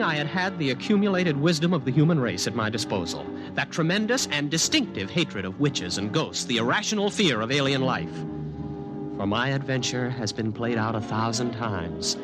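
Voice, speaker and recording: A haunted, obsessive young man acted by a man telling his story in 1950s radio style. His mid-pitched voice moves restlessly up and down, agitated and repetitive. Music plays behind him on the old recording. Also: lightly acted, rough recording. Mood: brooding. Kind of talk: 1950s radio acting, first-person narration.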